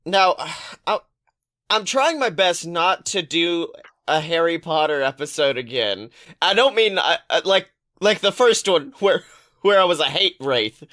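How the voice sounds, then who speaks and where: A woman's voice: on the low side, expressive, unhurried, fairly bright tone. A woman co-hosting a podcast in casual banter, blunt, sweary and contrarian. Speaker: podcast co-host.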